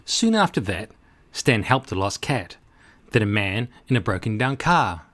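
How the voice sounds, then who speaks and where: A man reading a rhyming children's story aloud in an expressive, rhythmic, dramatic way. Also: fairly low pitch, measured pace.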